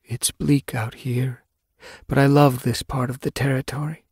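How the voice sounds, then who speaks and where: A man voicing a wise old female cat in an audiobook story, stern but caring, with measured, admonishing speech. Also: medium pitch, level tone, a little mellow, slightly rough, no room sound, studio quality, pitched above the performer's own voice. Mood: calm, musing.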